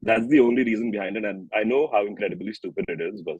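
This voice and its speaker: A man's voice: fairly low pitch, quick pace, a little mellow, breathy edge, dry recording, dull audio. A man in his early thirties with an Indian accent, chatting informally as a singer-songwriter in an interview. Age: early 30s. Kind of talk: casual interview chat.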